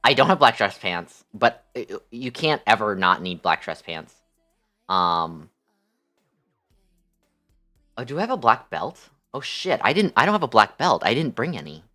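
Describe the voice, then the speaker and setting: A man's voice: medium pitch, big pitch swings, a little slow, pauses often, bright, forceful tone. Young adult male streamer, mid-range voice, casual and playfully affectionate with viewers, music behind. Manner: playful, affectionate, blows kisses to chatters. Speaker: livestreamer. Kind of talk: casual livestream chat with viewers.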